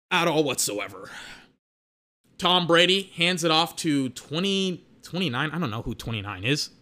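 A young man with a bright, ringing voice sitting slightly high calls a football game live from his desk. He talks fast and loose, full of filler words, breaking off to react to big plays. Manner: excitable, peppered with filler words and mock voices. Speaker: watch-along football commentator. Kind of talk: casual live play-by-play and analysis.

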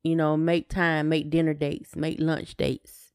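A woman's voice: low-pitched, little pitch movement, a little slow, darkish tone, clear, resonant tone, dry recording, clean studio sound. A middle-aged woman chatting casually and conversationally as a podcast co-host. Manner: colloquial, conversational. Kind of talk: casual chat.

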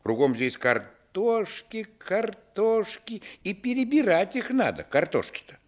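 A man playing a wistful grown narrator looking back on his village childhood, with expressive literary storytelling in a radio play. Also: sits high, a little slow. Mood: wistful, dramatic.

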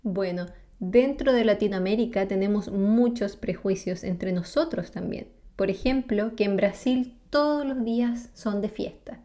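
Mellow-voiced Chilean woman, friendly explainer. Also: mid-range voice. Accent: Chilean Spanish. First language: Spanish. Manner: friendly, asks the viewer questions, laughs often. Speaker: vlogger. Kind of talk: explanatory talk to camera.

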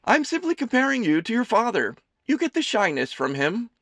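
A man voicing a doting, gossipy, middle-aged mother who runs a diner, loud and theatrical. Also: huge pitch swings, a little fast, no echo, clean studio sound, heavily acted. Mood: teasing. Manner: loud, theatrical, high chirpy voice.

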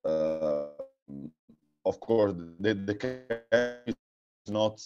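Low-voiced Italian man, halting speech. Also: lo-fi sound, over music. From Italy. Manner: hesitant, many repeated words and restarts. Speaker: guest, futsal coach and technical director. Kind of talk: casual interview answers.

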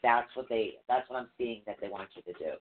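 A man's voice: medium pitch, fairly quick. A man co-hosting a live psychic call-in show, talking casually and rambling with fillers. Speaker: co-host and reader. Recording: tinny phone-like line, faint noise.

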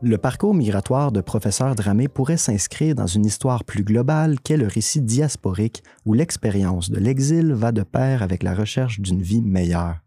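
A man, co-hosting a podcast with slow, deliberate academic narration. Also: lowish voice, lively intonation, mellow, husky, dry recording, faint music behind. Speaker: podcast co-host.

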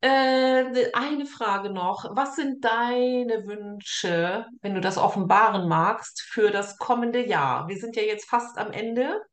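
A woman's voice: fairly high pitch, slow pace, few pauses, echoey room. A woman hosting a casual podcast interview in an effusive, familiar, giggly manner.

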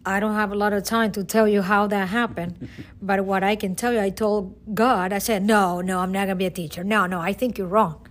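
A woman's voice: medium pitch, fairly even intonation, brisk. Earnest woman with a Venezuelan accent. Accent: Venezuelan Spanish. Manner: earnest, emotional, hesitant with fillers and restarts. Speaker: teacher and guest. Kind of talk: personal testimony.

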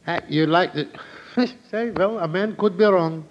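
Eager, high-pitched little shopkeeper. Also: male voice, a little slow. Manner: folksy, ungrammatical sales patter. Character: eager, chatty male camera shopkeeper, small-time salesman. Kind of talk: 1940s radio crime drama acting. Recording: muffled, noisy old radio recording.

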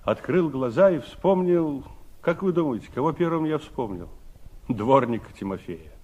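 Hear an ageing veteran of the revolution in a 1960s Soviet radio play. His medium-pitched voice moves expressively, with wistful reflection, theatrical emphasis and an uncle's kindness. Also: lively intonation, moderate pace, darkish tone, slightly rough, lo-fi sound. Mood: bitter, reminiscing.

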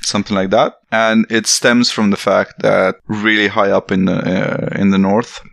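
A young man from Romania with a Romanian accent, chatting casually and laughing often, his pitch leaping up when he acts out quotes. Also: moderate pace, gravelly, no room sound, studio quality. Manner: animated, laughs often, jumps high when acting out quotes. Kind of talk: casual chat.

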